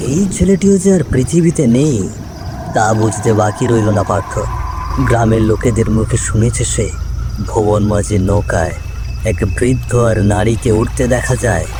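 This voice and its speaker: A man's voice: medium pitch, unhurried, background noise. A man narrating a scripted ghost story in a dramatic storytelling style.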